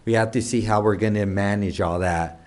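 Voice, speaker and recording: A man's voice: on the low side, fairly even intonation, fairly quick, a little mellow. A man chairing a city council meeting, talking his way through a long list with many restarts and asides. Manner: rambling, many restarts, lists items. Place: council chamber.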